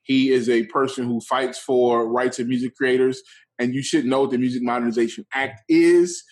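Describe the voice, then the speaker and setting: A man co-hosting a podcast, giving relaxed shout-outs and announcements in casual chat. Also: medium pitch, moderate pace. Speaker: podcast co-host.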